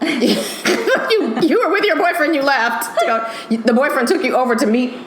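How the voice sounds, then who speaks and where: A woman's voice: high voice, normal speed, echoey room, a crowd in the background. A woman guest on a podcast, teasing and laughing a lot as she chats. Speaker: podcast guest, makeup artist. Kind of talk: casual chat.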